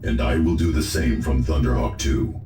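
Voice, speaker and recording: Extremely deep, stoic gunship warrior. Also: male voice, moderate pace, slight background noise. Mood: steady. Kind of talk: military radio report, game voice acting. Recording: echoing distant voice under loud music.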